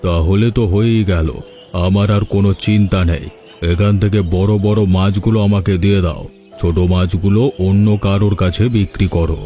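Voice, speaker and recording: A man voicing an unhurried, well-off village gentleman in a cartoon. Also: deep voice, fairly even intonation, lightly acted. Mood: calm, businesslike. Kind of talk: Bengali cartoon dialogue. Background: background music.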